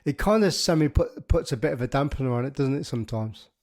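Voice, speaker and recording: A man hosts a surf podcast in a matey, easygoing way. He calls his guest "mate" and closes his questions with "right?" and "doesn't it?". His voice sits at an ordinary middle pitch. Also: animated, fast talker, darkish tone. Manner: matey, chatty questions with tag endings. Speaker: podcast host. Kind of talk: casual interview chat.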